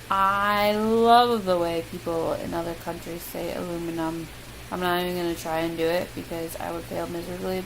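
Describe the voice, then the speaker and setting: Chatty Midwestern woman, gravelly, hissy recording. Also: fairly low pitch. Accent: Midwestern American. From American Midwest. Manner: chatty, self-deprecating, lots of ums. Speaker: vlogger. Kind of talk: casual accent challenge. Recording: loud steady hiss.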